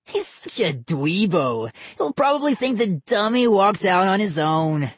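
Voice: medium pitch, very animated, measured pace, slightly rough, no echo, voice pushed up. A narrator voicing a smug, giggly prankster boy in an audiobook. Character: boastful prankster boy Vinny.

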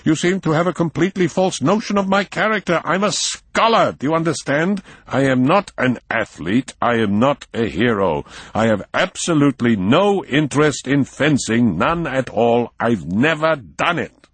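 A man's voice: medium pitch, animated, normal speed, hardly any pauses, a bit bright, breathy edge, no room sound, voice pushed up. A man voicing an anxious young scholar who protests in a raised, agitated voice. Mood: exasperated. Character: bookish young male scholar, anxious and indignant.

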